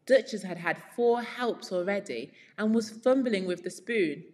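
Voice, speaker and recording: A woman reading a storybook aloud as a teacher, deliberately explaining words as she goes. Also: medium pitch, lively intonation, a little mellow. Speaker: read-along storyteller and English teacher. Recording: very reverberant room.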